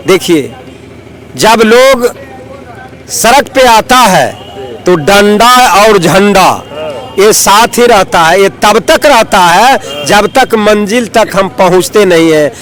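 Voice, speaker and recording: A man, an activist making an emphatic protest statement in short, halting phrases during a street interview. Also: high-pitched, animated, a bit bright, rough recording. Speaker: campaign activist.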